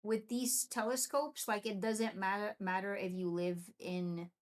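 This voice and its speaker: A young woman from Venezuela hosting a live stream, chatting excitedly and answering viewers' comments. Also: medium pitch, unhurried. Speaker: livestream host.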